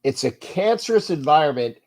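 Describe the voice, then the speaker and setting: A man's voice: fairly high pitch, very animated, brisk, a little mellow. A man hosting a sports talk livestream, stuffy and nasal from congestion, ranting bluntly and reading out chat messages. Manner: frustrated, blunt, repeats himself, reads chat aloud. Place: cold indoor room.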